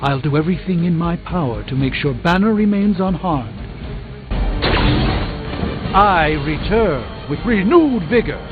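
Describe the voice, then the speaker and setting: Solemn middle-aged sorcerer, formal measured delivery, video game dialogue, narrow tinny audio, music underneath. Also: male voice, on the high side, animated. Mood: earnest concern. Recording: narrow phone-like sound, music behind.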